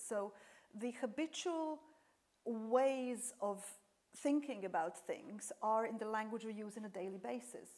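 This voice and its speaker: Casting: woman academic, Serbian native, mid pitch, explains at length with restarts. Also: darkish tone. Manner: explanatory, with frequent fillers and restarts. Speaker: lecturer. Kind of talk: answering audience questions after a talk.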